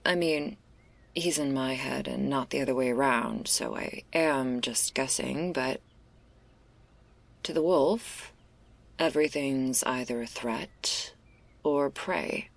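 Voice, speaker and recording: A woman playing a laid-back, restless stoner werewolf in an intimate ASMR roleplay, husky and gravelly, confiding in a casual, hesitant, slangy way. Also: a bass voice, takes it slowly, full character voice.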